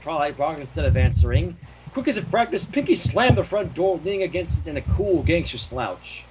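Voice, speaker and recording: A man's voice: a little high, sing-song, a little fast, background noise. A man narrating fan fiction informally, loose and sometimes stumbling over words.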